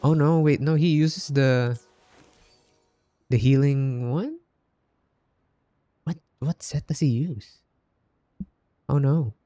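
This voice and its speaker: Casual male game streamer, halting chat. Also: fairly high pitch, warm tone, dry recording. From Philippines. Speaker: game livestreamer. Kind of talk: casual live gaming commentary. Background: game music.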